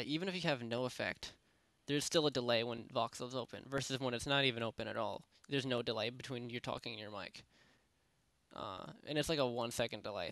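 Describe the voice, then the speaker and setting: A young man casually talking through a software tutorial at his home computer, rambling with lots of fillers and restarts. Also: medium pitch, no room sound. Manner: rambling, many fillers and self-corrections. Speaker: tutorial host. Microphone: desktop microphone at a PC.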